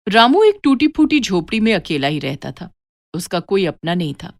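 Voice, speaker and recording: A woman's voice: medium pitch, very animated, normal speed, creaky, dry recording, clean studio sound. A woman narrating a scripted moral story for children as a storyteller.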